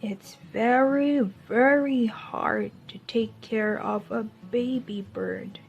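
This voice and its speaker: A woman voicing a caring, sensible mother mole in a children's storybook, in a measured, instructive manner. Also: on the high side, fairly even intonation, creaky, phone-quality sound. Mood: cautioning.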